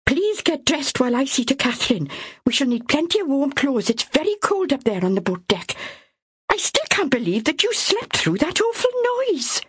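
A woman's voice: high voice, sing-song, brisk, dry recording, pitched above the performer's own voice. A woman reader voicing a panicked young mother, pleading urgently and breathlessly.

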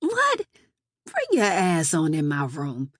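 A brash woman with a gruff smoker's voice , put on by a woman narrator, bosses people around. The audiobook recording is dry and close. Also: low voice, huge pitch swings, slow pace, a bit bright, dry recording, full character voice. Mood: irritated, bossy. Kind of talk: audiobook character dialogue.

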